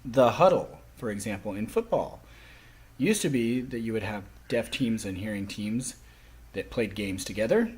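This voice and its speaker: A man speaking a lecture to a class, explaining things in halting, phrase-by-phrase delivery. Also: lowish voice, animated, a little mellow, slightly rough.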